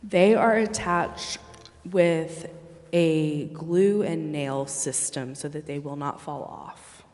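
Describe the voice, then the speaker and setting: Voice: mid-range voice, slow pace, darkish tone. Hesitant city staffer in a reverberant hall. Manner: hesitant, apologetic. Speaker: staff member presenting bids to a city council. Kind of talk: answering questions in a public meeting. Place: council chamber, indoors. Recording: echoey meeting hall.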